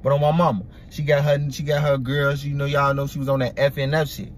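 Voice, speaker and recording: A young man hosting a music reaction video from a parked car, talking excitedly in profane slang into a phone held at arm's length. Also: medium pitch, quick pace, slight background noise. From Iraq. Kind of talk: casual reaction commentary. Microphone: phone camera at arm's length.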